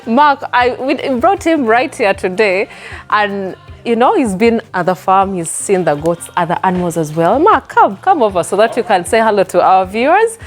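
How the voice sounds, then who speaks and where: A woman with a medium-pitched voice co-hosts in an easy, informal style. She is agreeable, chiming in and echoing the talk. Soft music sits faintly in the background. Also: lively intonation, moderate pace. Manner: agreeable, echoes and affirms her partner. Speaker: co-host. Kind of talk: casual vlog presenting.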